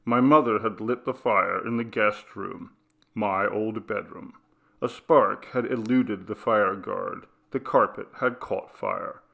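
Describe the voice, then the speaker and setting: Slow, gravelly male story reader. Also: on the low side, steady tone, sharp tone. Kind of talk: scripted ghost story narration.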